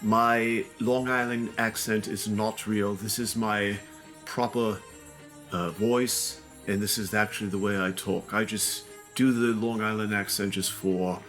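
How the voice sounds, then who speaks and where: An older man with a thick New York City accent and a lowish voice answers viewer questions with dry, deadpan jokes. Soft music plays faintly underneath his casual banter. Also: a little slow. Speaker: co-host, father of the other host.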